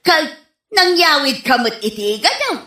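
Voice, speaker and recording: A woman playing an excitable, nagging wife in an Ilocano comedy radio drama, exclaiming and scolding theatrically with an Ilocano accent. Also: sits high, unhurried, exaggerated voice. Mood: fussy complaint. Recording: roomy, echoey radio drama recording.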